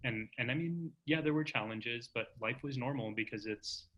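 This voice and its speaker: A man's voice: mid-range voice, normal speed, fairly bright tone, rough recording. An American man from Texas hosting a casual, reflective interview, often restarting phrases as he works out his thoughts. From Texas, United States. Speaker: interviewer, show host.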